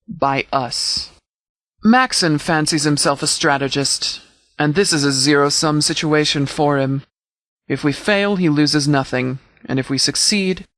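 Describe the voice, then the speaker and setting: A stern wasteland sheriff voiced by a male actor for a video game. His voice sits a little high for a man, and he speaks formally and with measured authority. The sound is very dry, with no room around it. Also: a bit bright, no room sound. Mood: measured, analytical. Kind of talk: scripted video game character dialogue.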